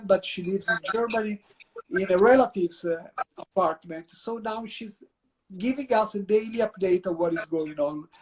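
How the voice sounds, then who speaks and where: A man's voice: high-pitched, slight background noise, low-quality recording. A man with an Italian accent, a university professor, answering questions at length in a discursive lecturing way with restarts. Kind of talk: guest answering in an interview.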